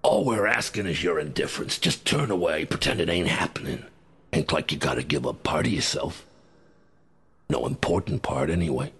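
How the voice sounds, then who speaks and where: A man voicing a seedy American huckster bird with a gravelly husky rasp, cajoling and menacing in slangy hard-sell patter for an audio drama. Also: a bass voice, lively intonation, normal speed, fairly bright tone, heavily acted. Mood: sly, coaxing.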